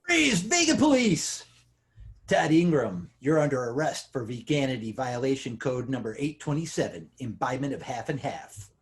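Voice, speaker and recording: Person doing a cocky officious cop for a table read, declaiming, pitch jumps all over. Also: sing-song, normal speed. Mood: officious, smug. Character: cocky vegan police officer, loud and officious. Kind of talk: amateur screenplay table read.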